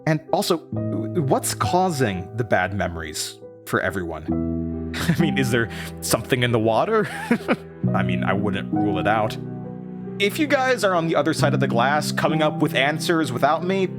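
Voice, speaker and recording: An actor playing an anxious, rattled man who haltingly recounts eerie memories, stammering and interrupting himself, in a horror audio drama. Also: medium pitch, sing-song, music in the background, lightly acted. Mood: puzzled, wry. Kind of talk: first-person horror audio drama monologue.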